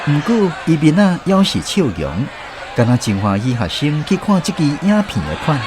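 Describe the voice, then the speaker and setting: A man's voice: fairly high pitch, sing-song, normal speed, background noise. An actor playing a reflective storyteller who narrates his youth in a radio drama, conversational and explanatory, with a Taiwanese accent. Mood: reminiscing. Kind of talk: scripted first-person radio drama narration.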